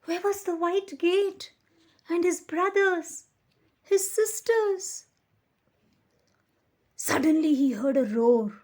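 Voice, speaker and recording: Slow, high-voiced woman reading a children's story. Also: a little mellow. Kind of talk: expressive read-aloud of a children's picture book.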